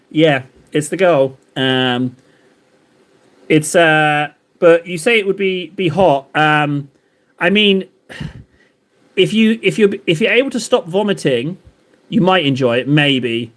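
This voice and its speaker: A man's voice: a little high, animated, slow pace, pauses often, fairly bright tone. Jokey man chatting on a live stream. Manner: rambling, jokey, banters with chat. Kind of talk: casual live-stream chat while painting.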